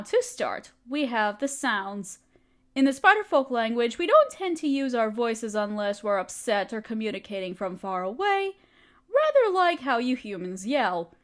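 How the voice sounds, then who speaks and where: Woman playing a doting, high-voiced spider queen mother. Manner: tender, patient, encouraging, formally worded. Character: doting motherly spider queen, regal, gently teasing, protective. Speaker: mother figure teaching a language. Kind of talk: roleplay audio, softly acted monologue.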